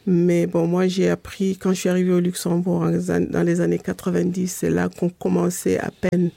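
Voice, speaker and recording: Gravelly woman, dry studio sound. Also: fairly low pitch, completely flat delivery, warm tone, no echo. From Senegal. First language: Pulaar. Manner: measured, thoughtful, with many pauses. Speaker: guest. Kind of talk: radio interview answers.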